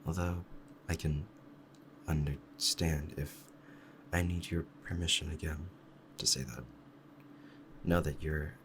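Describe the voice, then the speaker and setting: A man playing a doting, possessive fox-spirit husband in an intimate ASMR roleplay, talking softly in a rambling, hesitant, lovestruck way. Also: a bass voice, a little hiss or hum. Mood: tender, possessive.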